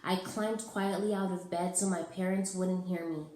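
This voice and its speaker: A woman giving an expressive narration of a children's storybook, as a teacher reading aloud. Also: lowish voice, moderate pace. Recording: roomy, echoing sound.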